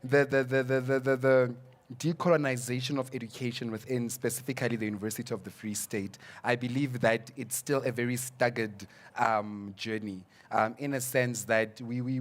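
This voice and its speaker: A man's voice: mid-range voice, little pitch movement, normal speed. Young south african guy on a student panel, earnest, keeps repeating words, english is his second language. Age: young adult. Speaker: student representative. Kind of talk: panel discussion.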